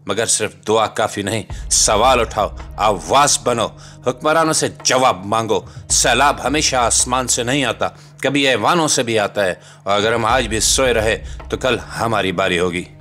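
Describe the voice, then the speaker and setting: A man's voice: lowish voice, fairly bright tone. A man with a Pakistani accent reads a scripted documentary-style commentary in a solemn, emphatic, rhetorical way. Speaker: host and narrator of a commentary channel. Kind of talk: scripted dramatic documentary voice-over. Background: background music.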